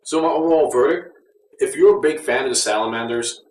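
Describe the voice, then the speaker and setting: A man's voice: medium pitch, brisk. A man giving a casual, conversational and mildly critical review as an audio drama reviewer. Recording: roomy echo, distant mic, faint noise.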